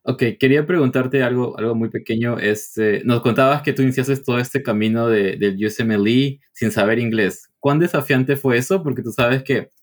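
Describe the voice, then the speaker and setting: A man hosts a casual interview and poses friendly, drawn-out questions. He sets up context before asking. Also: medium pitch. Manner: friendly, asks long framing questions. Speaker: podcast co-host, interviewer.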